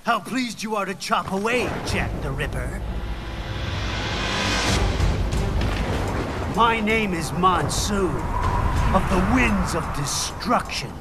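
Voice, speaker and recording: A man's voice: high-pitched, lively intonation, a little slow. An actor playing a menacing male cyborg villain who lectures his enemy in a taunting, theatrical way in a video game cutscene. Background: loud game soundtrack music.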